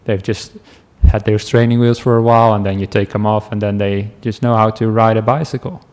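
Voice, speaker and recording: A man from the Netherlands with a Dutch accent, a meditation teacher giving a relaxed talk to a class, telling stories with asides and small laughs. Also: fairly low pitch, a little fast. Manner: gentle, chatty storytelling with asides and small laughs.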